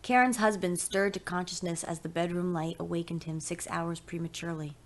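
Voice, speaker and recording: A woman's voice: fairly low pitch, fairly even intonation, brisk. A woman reading a scripted short story aloud as its narrator.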